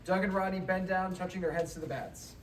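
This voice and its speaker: A woman's voice: lowish voice, steady tone, a little fast, a little hiss or hum. A woman reading scripted stage directions at a live comedy table read. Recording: live room, loud audience, distant mic.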